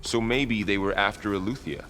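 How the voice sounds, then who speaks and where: An actor playing a steady, dutiful male scout companion in a video game, calm and practical. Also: fairly low pitch, moderate pace, noisy background, close to an everyday voice. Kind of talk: video game cutscene acting.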